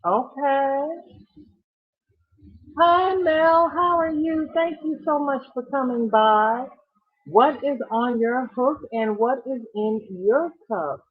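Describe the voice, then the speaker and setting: A congested woman hosting a casual crochet livestream, chatting warmly and greeting viewers by name as she reads their comments. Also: sits high, slow pace. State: congested, recovering from a cold. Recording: tinny phone-like sound.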